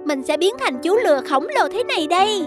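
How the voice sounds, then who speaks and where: A woman's voice: very high voice, animated, fairly quick. A woman voicing a naive, boastful little boy donkey in a cartoon, childlike, with music behind. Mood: cocky excitement. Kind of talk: cartoon voice acting.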